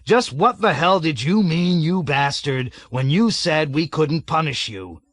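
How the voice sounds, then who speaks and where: A man voicing a bloated, blustering army colonel who roars and hectors in a raised, loud voice. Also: mid-range voice, big pitch swings, a little slow, dry recording. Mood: bellowing, bullying. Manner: roaring, hectoring, sarcastic. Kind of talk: audiobook character voice.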